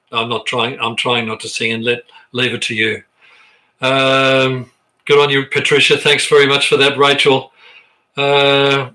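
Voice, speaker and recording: A man's voice: on the high side, pushed and bright, rough recording. An Australian man leading a live online choir rehearsal, chatting on a sensitive computer mic as he reads viewers' comments aloud with lots of restarts. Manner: chatty, rambling, with frequent restarts and fillers. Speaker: choir director. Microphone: sensitive computer microphone.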